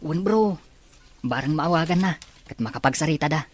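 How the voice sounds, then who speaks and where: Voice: mid-range voice, lively intonation, normal speed, lightly acted. An actor playing a grown child who confides in family and friends in an Ilocano audio drama. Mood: surprised, hopeful.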